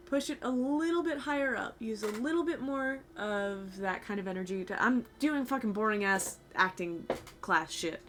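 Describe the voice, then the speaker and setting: A young woman streamer with a low, fried voice, chatting casually with her viewers in a rambling, loose way, her voice a little tired and sore. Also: a bit bright. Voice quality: low voice with vocal fry. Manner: rambling, talks to chat, swears casually. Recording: faint music behind.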